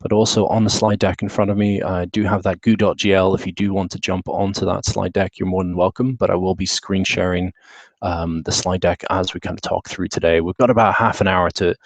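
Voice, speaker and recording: A man from the UK with a British accent, presenting a technical session at an online conference in a relaxed way, with many fillers and restarts. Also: low-pitched, moderate pace, dry recording. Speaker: webinar presenter.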